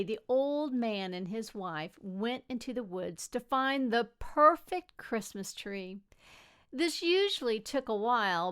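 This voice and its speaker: A woman reading a children's picture book aloud expressively, with gentle delivery and dramatic pauses. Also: fairly high pitch, clean tone. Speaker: storybook reader.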